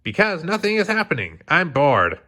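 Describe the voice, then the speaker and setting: A man's voice: on the high side, wildly swooping pitch, normal speed, sharp tone, pitched above the performer's own voice, full character voice. A man voicing a goofy, naive male cartoon vegetable detective, oblivious and matter-of-fact, in a children's storybook. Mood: oblivious, bored.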